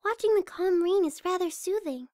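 A woman voicing a shy, gentle young cartoon pegasus pony with a hesitant, stammering delivery. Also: very high voice, normal speed, dry recording, clean studio sound. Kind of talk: amateur cartoon fan audio drama.

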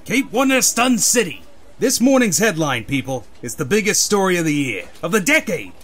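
Male newspaper editor, acted by a man: brusque, commanding, highish voice, lively swings, cartoon dub. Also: exaggerated voice. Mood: excited. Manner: barking, commanding, hurried. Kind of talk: comic-book dub.

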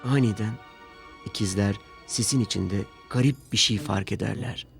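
A man's voice: fairly low pitch, normal speed, vocal fry. A man narrating a scripted children's story as the storyteller. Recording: music bed behind narration. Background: music.

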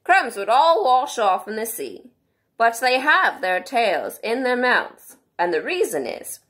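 A woman's voice: fairly high pitch, sing-song, measured pace, a bit bright, voice pushed up. A woman voicing a pompous, easily offended male Mock Turtle from a storybook, drawing out the words.

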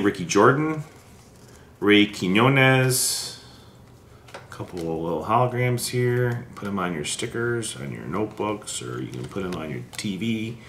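Low-voiced American man in a roomy space. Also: fairly bright tone. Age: middle-aged. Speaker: vlogger opening vintage sports card packs. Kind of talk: casual running commentary, reading off names. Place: indoors at a wooden table in a room. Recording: roomy echo, background hiss, handling clicks.

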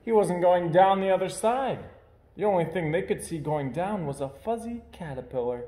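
A young man reads a children's story in a fairly high, mellow voice. His pitch swings widely through sing-song phrases and dramatic pauses. The room adds a noticeable echo around him. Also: expressive. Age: young adult. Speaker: storyteller reading a picture book aloud. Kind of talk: expressive children's story read-aloud. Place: indoor room with hard walls. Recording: roomy indoor echo.

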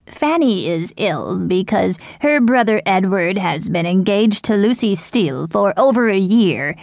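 A woman's voice: medium pitch, lively intonation, a little slow, creaky, a little mellow, no echo, studio quality. A woman voicing an outspoken, gossipy older widow who talks in a blunt, chatty way.

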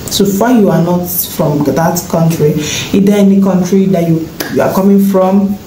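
A woman's voice: lowish voice, steady tone, moderate pace. A woman asking questions in a casual chat, reacting with short sounds of surprise. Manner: questioning, lots of "mm" and "wow". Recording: echoey clip with faint noise.

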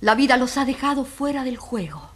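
An actress playing an earnest, impassioned advocate addressing a jury with emphatic rhetorical questions in a radio courtroom drama. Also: female voice, high voice, lively intonation, a little fast, a bit bright, slightly rough, exaggerated voice.

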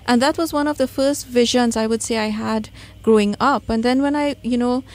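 A woman with a Trinidadian accent speaks in a fairly high voice. She talks conversationally about herself, as a guest at a radio studio microphone. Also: normal speed. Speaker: radio guest, spiritual medium. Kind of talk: conversational interview, personal storytelling.

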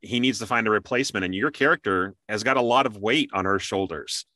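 A man's voice: on the low side, fairly quick, sharp tone, no room sound, studio quality. A man hosting a casual interview, talking in a chatty, conversational way. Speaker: interview host and amateur voice actor.

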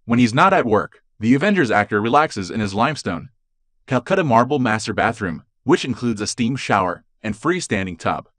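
A man reading a scripted celebrity voice-over in an even, read-aloud delivery. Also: mid-range voice, normal speed, no echo. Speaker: narrator.

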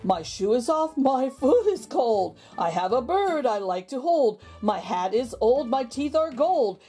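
A woman reading a picture book aloud to young children, with expressive, exaggerated intonation and drawn-out words. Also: high voice, husky. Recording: background music under the voice. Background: music.

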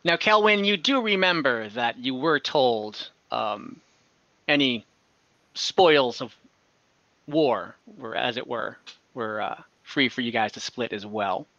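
A man's voice: medium pitch, expressive, slow pace, sharp tone, no echo. A young man narrating as game master on a video call, talking loosely with many fillers. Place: home room. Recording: slightly dull video call audio.

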